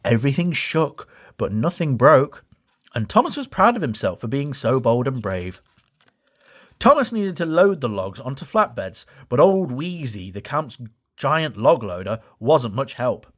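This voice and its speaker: Lively man reading a children's story. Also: medium pitch, measured pace. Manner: animated, acts out dialogue. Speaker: storybook reader. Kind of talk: children's story read aloud, expressive narration.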